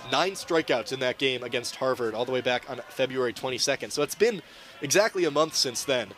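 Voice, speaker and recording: A man's voice: mid-range voice, animated, brisk, fairly bright tone. A young man giving live color commentary and analysis during a baseball game.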